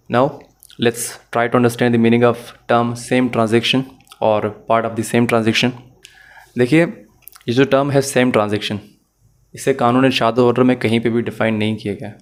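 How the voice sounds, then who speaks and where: A man explaining material as a law teacher in an online lecture, methodically repeating and rephrasing points and mixing in English phrases. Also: medium pitch.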